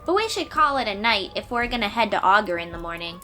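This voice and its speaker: A woman's voice: medium pitch, big pitch swings, quick pace, sharp tone. A woman playing a confident, eager young sea-dweller warrior in a fantasy audio roleplay, speaking expressively and informally to the listener. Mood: upbeat, friendly. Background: music.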